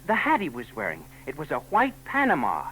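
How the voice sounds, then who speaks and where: High, excitable male bystander. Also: brisk. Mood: alarmed. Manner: agitated, stammering. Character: excitable male bystander shouting a warning in a parking lot. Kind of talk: 1940s radio acting. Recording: noisy, humming 1940s radio recording.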